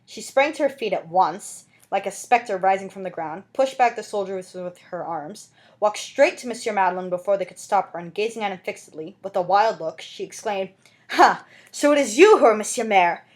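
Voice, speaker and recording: A woman's voice: mid-range voice, moderate pace. A woman reading a classic novel aloud as an amateur audiobook narrator, with dramatic scripted delivery.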